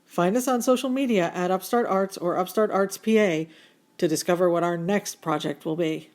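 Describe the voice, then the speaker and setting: A woman announcer reading scripted radio show announcements and credits in a deliberate, presentational way. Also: lowish voice, a little mellow.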